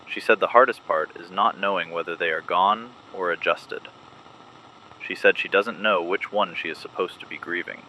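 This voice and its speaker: Deep, very bright-voiced somber resistance broadcaster man. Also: a little hiss or hum, exaggerated voice, poor audio. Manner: grave, restrained, deliberate delivery. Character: somber male resistance broadcaster honoring lost comrades. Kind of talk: scripted dystopian audio drama narration.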